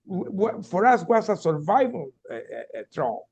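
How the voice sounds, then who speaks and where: A man's voice: high-pitched, sing-song, normal speed, gravelly, a little mellow, breathy edge, lo-fi sound. A man from Venezuela, telling his story earnestly as a keynote speaker and often restarting his words. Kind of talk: storytelling talk on a video call.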